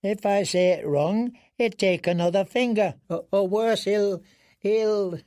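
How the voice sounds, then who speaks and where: A man's voice: sits high, a little slow, a little mellow, no echo, studio quality, pitched above the performer's own voice. A man voicing a broken, starving prisoner who sounds aged, mumbling and pleading in a thin, weak voice for an audiobook. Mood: terrified, broken.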